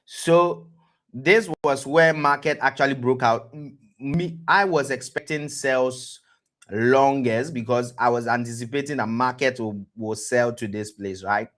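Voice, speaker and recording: A man with a West African accent hosting a live-streamed trading lesson, pausing to ask "what?" and answering himself, often repeating words as he goes. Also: mid-range voice, fairly bright tone. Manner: quizzes listeners with rhetorical "what?" prompts; repeats words and restarts phrases. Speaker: trading educator, stream host.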